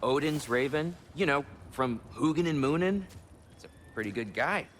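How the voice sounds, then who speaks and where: A man's voice: a little high, animated, moderate pace, fairly bright tone, a little hiss or hum. A man playing a friendly, awkwardly candid guide in a video game, rambling along in a chatty way. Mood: awkward friendliness. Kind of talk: video game cutscene acting.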